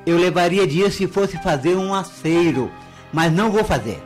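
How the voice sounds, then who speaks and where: An actor playing a proud, stubborn Brazilian farmer in a radio soap, curt and dismissive. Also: male voice, high-pitched, lively intonation, moderate pace, faint music behind. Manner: curt, obstinate. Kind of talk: radio soap opera acting.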